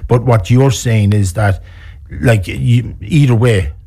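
A man's voice: mid-range voice, takes it slowly, warm tone, breathy edge. A man with an Irish accent interviewing a guest, asking probing questions with some hesitation. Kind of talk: conversational interview.

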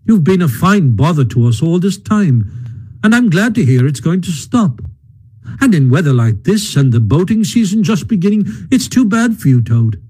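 A man's voice: a little high, big pitch swings, moderate pace, warm tone, husky, voice pushed up, rough recording. Man reading doing a cheery hearty rat, raised voice, blunt but kind. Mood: hearty, coaxing. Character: hearty, brisk male water rat, kindly but blunt. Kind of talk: storybook reading.

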